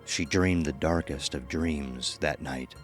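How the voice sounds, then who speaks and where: A man with an extremely deep voice narrates a scripted horror tale. Music plays behind his storytelling. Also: slow.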